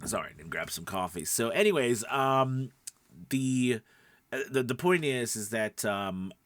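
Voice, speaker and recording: A man's voice: mid-range voice, lively intonation, unhurried, a bit bright, dry recording. A middle-aged man hosting a voice-over coaching video podcast, talking casually and instructionally, rambling and often rephrasing himself. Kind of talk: casual instructional vlog talk.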